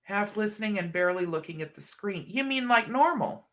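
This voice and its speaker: Stuffy scratchy-voiced woman doing a late night livestream, bright tone, chatty and sweet, calls folks hun. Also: medium pitch, animated, brisk. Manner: affectionate, chatty, calls viewers hun and honey. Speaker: livestream host. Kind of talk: casual livestream chat reading comments.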